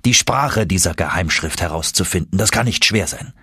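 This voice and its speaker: A man voicing an excitable older professor uncle in a German audiobook, emphatic and commanding. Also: sits low, husky, dry recording, clean studio sound, exaggerated voice. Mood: eager, certain. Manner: emphatic, triumphant exclamations and brisk orders.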